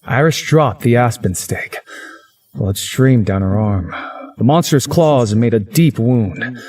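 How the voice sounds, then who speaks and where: A man's voice: medium pitch, expressive, slow, darkish tone, breathy edge. A man narrating a visual novel in the first person, as a story's narrator.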